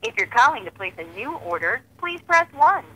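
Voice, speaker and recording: A woman's voice: on the high side, big pitch swings, moderate pace, sharp tone, rough recording. A woman giving a pizza chain's phone greeting, heard through a phone speaker. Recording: phone playback with faint noise.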